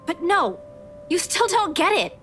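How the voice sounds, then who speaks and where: An actress plays a frustrated young woman investigator in a visual novel game. Her voice is high and bright, exasperated and pressing. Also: very animated, unhurried, soft background music, full character voice.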